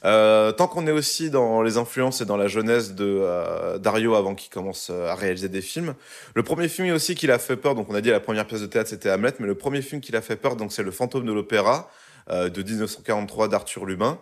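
A man's voice: fairly low pitch, lively intonation, fairly quick. A young man co-hosting a casual podcast, telling a story in a loose, joking way with lots of fillers. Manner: jokey, loose delivery with many fillers. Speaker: podcast co-host presenting a segment. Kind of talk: casual chat.

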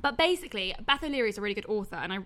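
A woman's voice: mid-range voice, expressive, very fast, pushed and bright. A young woman with a Welsh accent, co-hosting a podcast, chatty and laughing often. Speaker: podcast co-host. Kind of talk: casual chat.

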